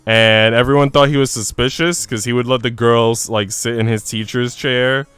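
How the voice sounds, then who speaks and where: Clear-voiced man streaming casually over music. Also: medium pitch, fairly even intonation, normal speed, a bit bright, dry recording. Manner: slangy, profane, joking. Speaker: live streamer. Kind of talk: casual chat while streaming a visual novel.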